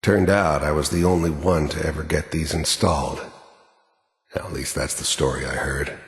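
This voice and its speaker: An actor playing a hardened, cynical middle-aged mercenary in a video game, with an American accent, a gruff voice and a terse, sardonic, menacing delivery. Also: male voice, extremely low pitch, fairly even intonation, moderate pace. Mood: cold, reflective. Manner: terse, matter-of-fact, sardonic. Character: hardened cynical male mercenary, cold, menacing, world-weary. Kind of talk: video game character monologue and dialogue. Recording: echoey hall-like reverb.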